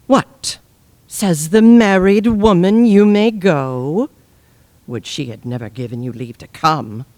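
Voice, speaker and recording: A proud, wounded queen of classical tragedy. Her delivery is slow and deliberate, swinging widely in pitch in a mercurial, declamatory way. The sound is close and dry. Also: no room sound. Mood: bitter, mocking. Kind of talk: classical Shakespearean stage acting.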